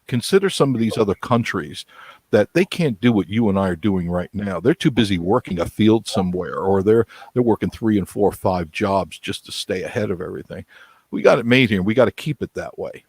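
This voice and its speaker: Sixties man, folksy talker, low voice, dry close sound. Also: expressive, a little fast, a little mellow, breathy edge, no room sound. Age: 60s. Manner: chatty, laughs often, folksy. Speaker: guest on a live chat stream. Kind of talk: casual chat.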